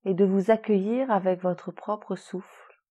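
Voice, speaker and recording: A woman leading a guided relaxation meditation in a soothing, gentle, unhurried manner with long pauses. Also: medium pitch, steady tone, breathy edge, highs cut off. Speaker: yoga nidra relaxation guide.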